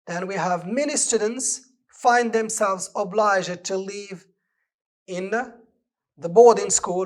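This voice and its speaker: A man from Morocco with a Moroccan accent, a native speaker of Moroccan Arabic, teaching an English lesson on television and explaining exercise answers step by step. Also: high-pitched. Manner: slow, deliberate, reads exercise items aloud and explains answers. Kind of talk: televised distance-learning lesson.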